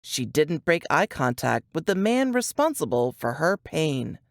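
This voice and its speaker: Female audiobook narrator, deep register, expressive range, very dry studio sound. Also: unhurried, vocal fry, dry recording. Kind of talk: scripted fiction narration.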